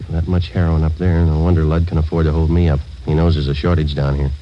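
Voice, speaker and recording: An American man playing a weary, businesslike undercover police sergeant, speaking in a deadpan, matter-of-fact way in a radio drama. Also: extremely low pitch, quick pace, slight background noise. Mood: terse, low-key. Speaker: narrator and lead of police drama. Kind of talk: 1950 radio drama, clipped first-person narration. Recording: dull old radio recording, music behind.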